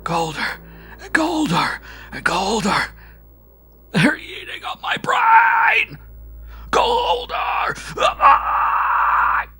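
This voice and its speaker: A man's voice: medium pitch, normal speed, gravelly, slightly rough, faint music behind, voice pushed up. A man voicing a delirious, terrified young man who sobs and cries out wildly. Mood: shrieking. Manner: sobbing, crying out wildly.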